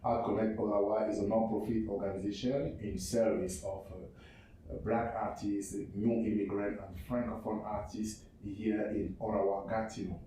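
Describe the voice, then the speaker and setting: Man, Cameroonian French accent, halting and repetitive, low mellow voice, very echoey room, distant mic. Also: flat delivery. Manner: halting, repeats words and restarts often. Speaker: non-profit founder presenting his organization. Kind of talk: spoken presentation. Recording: very echoey room, far from mic, faint noise.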